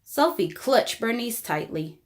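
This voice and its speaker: A woman reading a children's book aloud in an expressive, deliberate storytelling style. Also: on the high side. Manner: animated, deliberate storybook delivery. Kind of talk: expressive read-aloud narration for young children.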